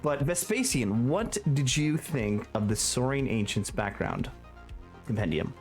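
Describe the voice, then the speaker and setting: A man with a mid-pitched voice hosts a casual gaming review chat. He talks conversationally, with faint music playing behind him. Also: lively intonation, measured pace. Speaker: host of a tabletop gaming show.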